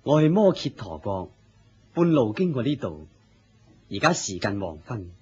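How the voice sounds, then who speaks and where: A serene, unafraid holy man, low and very husky, with a Hong Kong Cantonese accent. He is courteous and composed, as in a muffled radio play. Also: sing-song, a little slow, full character voice. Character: serene, dignified male Buddha, courteous and unafraid. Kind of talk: Cantonese radio drama acting.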